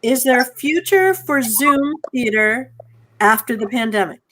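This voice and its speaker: A woman's voice: on the high side, lively intonation, a little slow, fairly bright tone, a little hiss or hum, low-quality recording. A woman moderating an informal community theatre Q&A on a video call, effusive and thankful in the way she talks. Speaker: host of a community theatre online talkback.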